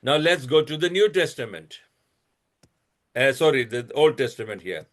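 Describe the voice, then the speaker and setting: A man hosting his own channel, giving a lecture-style talk. He reads out a string of references slowly and deliberately, with many restarts and fillers, and turns blunt and scolding when he addresses critics. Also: mid-range voice, sing-song, a bit bright. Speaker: channel host presenting a religious comparison.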